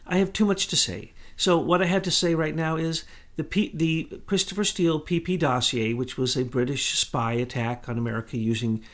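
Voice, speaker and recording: A middle-aged man co-hosting a political commentary talk, talking fast and insistently. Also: fairly high pitch, fairly even intonation, fairly bright tone. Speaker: co-host.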